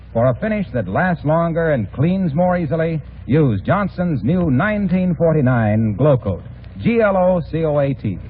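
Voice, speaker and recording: Male American ad announcer, 1940s radio style, emphatic and slightly high-pitched, on a tinny vintage recording. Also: a little hiss or hum. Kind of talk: 1940s radio advertising read, scripted.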